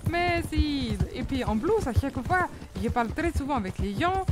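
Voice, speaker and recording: A woman's voice: sits high, lively intonation, normal speed, music in the background. A woman playing a chatty young Mexican woman in a roleplay, with a put-on Mexican accent and hesitant talk full of fillers. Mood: cheerful. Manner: hesitant, full of fillers, sprinkles Spanish words. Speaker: roleplay player.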